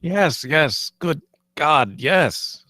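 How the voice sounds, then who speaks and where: A man's voice: a little high, lively intonation, very slow, bright, forceful tone, heavily acted. A man playing an exasperated, impatient spaceship captain with a curt, irritated manner in a comedy table read.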